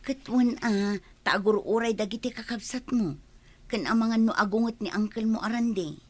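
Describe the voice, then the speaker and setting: A woman's voice: a little high, moderate pace, close to an everyday voice. An actress playing a stern mother who is leaving her child with a relative, in a Filipino radio drama. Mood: firm, coaxing.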